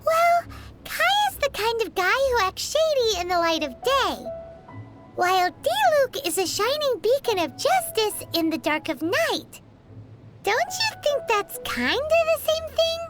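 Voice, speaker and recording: A very high-pitched, bouncy little floating fairy companion, cheeky and chatty, who keeps calling herself by name. Her voice leaps up and down as she banters in a video game, over soft game music. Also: very animated, measured pace, heavily acted. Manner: refers to herself in third person, chatty and cheeky. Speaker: sidekick companion.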